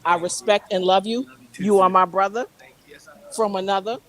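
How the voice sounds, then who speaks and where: A woman's voice: mid-range voice, steady tone, moderate pace, a bit bright. A woman from New Jersey co-hosting a podcast, talking casually and repeating words for emphasis. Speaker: podcast co-host. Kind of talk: casual chat.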